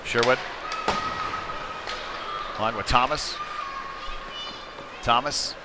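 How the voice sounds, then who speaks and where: An American man giving casual, conversational live hockey play-by-play as a commentator, getting excitable when play heats up. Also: mid-range voice, very slow. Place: indoor ice rink. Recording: noisy indoor ice rink.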